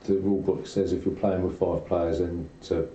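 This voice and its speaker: A low-voiced man talks the table through game rules in an instructive, casual way, often ending on a tag question. His tone is very dark and soft, picked up at a distance in an echoey room. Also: little pitch movement, moderate pace. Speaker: host explaining board game rules. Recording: roomy, muffled, distant mic, hissy noise.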